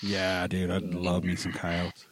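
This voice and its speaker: A man's voice: low-pitched, completely flat delivery, slow, dry recording. A man co-hosting a casual podcast, enthusiastic and calling everyone "dude". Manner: enthusiastic, says "dude" a lot. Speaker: podcast co-host. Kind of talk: casual chat.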